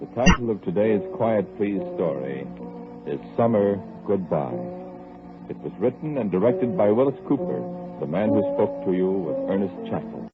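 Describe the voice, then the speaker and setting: A man announcing the credits of a 1940s radio drama in a measured, formal manner. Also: lowish voice, sing-song. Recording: tinny old radio broadcast with organ music.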